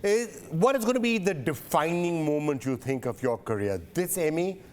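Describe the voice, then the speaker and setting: Probing interviewer, slightly high voice. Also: male voice, expressive, normal speed. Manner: probing, repeats phrases while framing questions. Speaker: interviewer, news show host. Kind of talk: interview questions.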